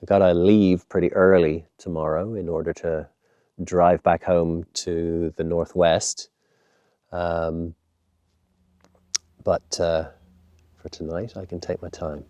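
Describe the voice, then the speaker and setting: Man, very deep voice, congested nasal tone, earnest, measured, reflective vlogger, dry sound. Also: little pitch movement, dark tone, dry recording, lo-fi sound. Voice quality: slightly nasal, bunged up. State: congested with a head cold. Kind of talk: reflective outdoor vlog talk to camera.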